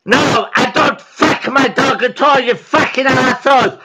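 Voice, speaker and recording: A man answering a call, shouting and swearing furiously, close to tears. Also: very high voice, a little slow, over loud music. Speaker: call recipient. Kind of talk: angry phone rant. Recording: loud background noise.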